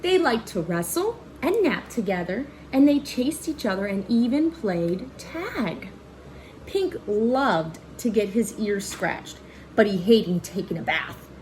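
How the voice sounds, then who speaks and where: Slow-paced woman reading a picture book aloud. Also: mid-range voice, slight background noise. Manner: expressive, child-directed, pauses to ask questions and point out pictures. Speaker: read-aloud storyteller and teacher. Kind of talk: children's picture-book read-aloud with asides.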